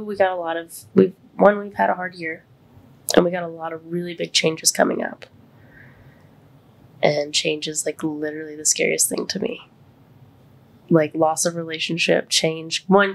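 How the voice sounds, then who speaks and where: A woman hosting a podcast in casual, heartfelt chat. She is congested and her voice sits deeper than usual, and she talks openly and vulnerably, close to tears. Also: creaky. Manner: open and vulnerable, near tears. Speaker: podcast host.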